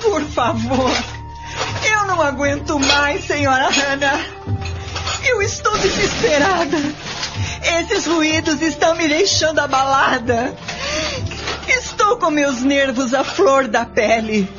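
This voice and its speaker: High-pitched, desperate young housemaid. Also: female voice, expressive, slow. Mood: desperate, pleading. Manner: pleading, trembling, overwrought. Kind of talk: radio soap melodrama. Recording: dull lo-fi radio play with music.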